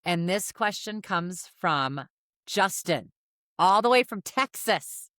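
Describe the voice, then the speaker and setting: Female podcast host, lower register. Upbeat, affirming, conversational, dry close-mic sound. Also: expressive, slow, a bit bright, no room sound. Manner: encouraging, affirming, frequent "yeah". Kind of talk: hosting a casual podcast interview.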